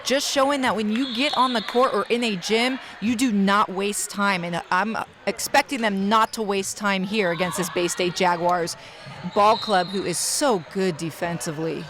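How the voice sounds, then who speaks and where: A woman's voice: mid-range voice, fairly even intonation, moderate pace, a bit bright, slight background noise. A woman giving color commentary at a basketball game, explaining plays with enthusiasm.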